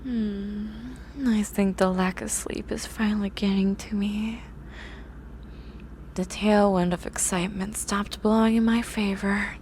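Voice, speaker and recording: A woman's voice: mid-range voice, flat delivery, a little slow, darkish tone, a little hiss or hum, lightly acted. A woman voicing a grumpy, obsessive young horse-girl scientist in an ASMR roleplay, talking in a precise, scholarly, bossy way. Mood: focused, pleased, then tired, softening.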